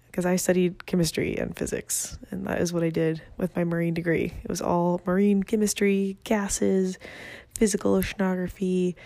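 A woman's voice: on the low side, vocal fry, dark tone, no room sound. A young woman from Venezuela hosting her own podcast, talking casually and upbeat with a self-deprecating streak, recorded through a phone app. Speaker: podcast host. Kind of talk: casual solo podcast monologue. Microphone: phone app, no proper mic.

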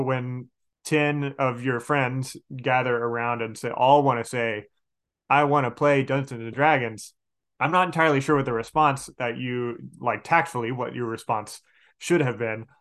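A man's voice: medium pitch, fairly bright tone. A man speaking as a podcast guest and game master, casual and emphatic. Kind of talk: casual interview talk.

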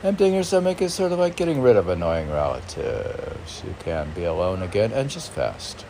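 A man reading poetry and commenting on it informally in a halting, musing, self-questioning manner. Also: low voice, sing-song, vocal fry, dark tone, a little hiss or hum. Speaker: poet reading and commenting on own poems.

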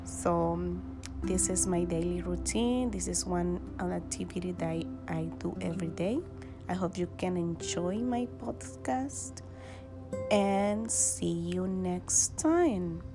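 A woman's voice: lowish voice, slow, rough recording. A woman from the Dominican Republic, an English student, introducing herself hesitantly and searching for words. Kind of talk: self-introduction with fillers. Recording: guitar music behind.

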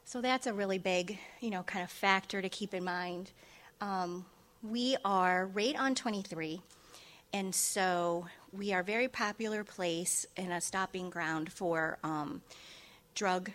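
A woman presenting a session at a conference as a school district director, soft-spoken, with many ums and restarts. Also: medium pitch, measured pace. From Ohio. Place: conference room.

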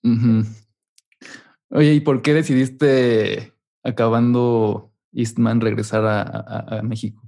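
A man's voice: medium pitch, level tone, slow, gravelly, dark tone. A young man with a Mexican accent conducting a casual, informal interview, listening and asking questions in everyday slang.

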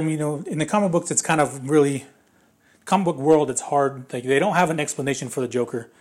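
Slightly raspy man rambling through a review. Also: medium pitch, a little mellow. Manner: rambling, lots of fillers and restarts. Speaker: movie reviewer. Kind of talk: casual spoken movie review.

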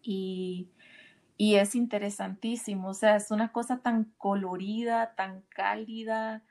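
A woman's voice: medium pitch, steady tone, unhurried, a little mellow. A woman from Rica, interviewing a guest on a video call. She asks musing questions and laughs easily. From Costa Rica. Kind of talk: casual video call conversation.

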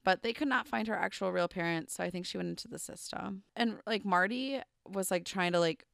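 Young woman, podcast co-host, chatty filler-heavy storytelling, vocal fry, smooth tone, dry close sound. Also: mid-range voice, brisk, a bit bright, no echo. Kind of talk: casual true crime storytelling.

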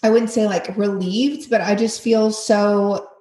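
A woman's voice: mid-range voice, fairly even intonation, measured pace, dark tone, roomy sound. A woman hosting a podcast interview in a casual, chatty way, with lots of fillers and laughter. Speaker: podcast host.

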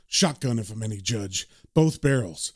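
Man as British antihero, lowered voice, weary, cynical, brooding comic book narration. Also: animated, normal speed. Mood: grim.

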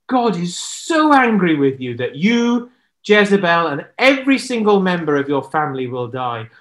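A reader doing a grave, stern prophet from a children's storybook, solemn and scolding. The voice stays near the narrator's own but drops lower and slower for warnings, with lively swings of pitch.

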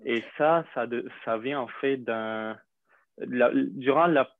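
A young man from Syria, a native Arabic speaker, telling his story in an interview with many pauses, fillers and restarts. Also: medium pitch, no room sound. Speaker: interview guest. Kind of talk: hesitant conversational interview. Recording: dry tinny phone-like line.

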